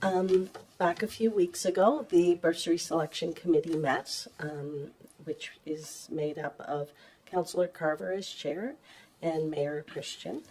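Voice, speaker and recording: A woman with a low voice, giving a hesitant report to a council with many ums and restarts. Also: unhurried, darkish tone, slight background noise. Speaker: municipal clerk. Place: council chamber. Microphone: table microphone.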